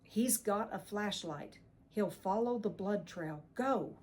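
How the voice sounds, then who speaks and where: A woman's voice: medium pitch, moderate pace, dark tone, lightly acted. A woman voicing a wounded, pleading young man. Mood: urgent. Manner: urgent, pleading, breathless.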